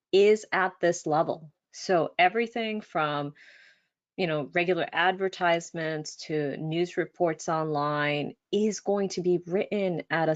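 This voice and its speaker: A woman's voice: on the low side, lo-fi sound. A woman, a native Spanish speaker, giving a casual, encouraging language-learning webinar with many fillers. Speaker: language instructor. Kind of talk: webinar presentation.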